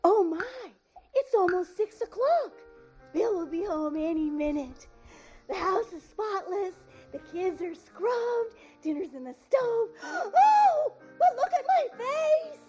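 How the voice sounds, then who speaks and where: Vintage ad housewife, squeaky high, drawn-out gushing delivery, radio commercial. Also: female voice, very animated, mellow, lo-fi sound, faint music behind, full character voice. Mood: flustered, gushing. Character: flustered, perky 1930s housewife in radio commercial. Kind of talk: exaggerated 1930s radio commercial acting.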